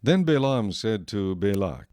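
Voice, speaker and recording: A man reading scripted scripture narration in a measured, solemn storytelling manner. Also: fairly low pitch, sing-song, a little mellow, dry recording.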